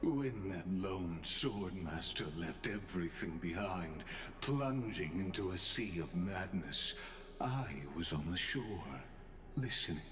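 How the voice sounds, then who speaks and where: A man playing a cryptic, ancient observer in a fantasy game, speaking in grandiose, deliberate oratory with theatrical flourish. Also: low voice. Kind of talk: scripted fantasy game voice acting. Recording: echoing voice over music.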